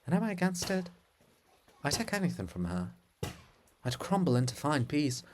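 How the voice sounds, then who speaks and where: A man's voice: on the high side, sing-song, normal speed, darkish tone, slight background noise. A man voicing a lovesick monument of an apostle, pleading floridly and melodramatically as a scorned suitor in a farce. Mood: lovelorn lament.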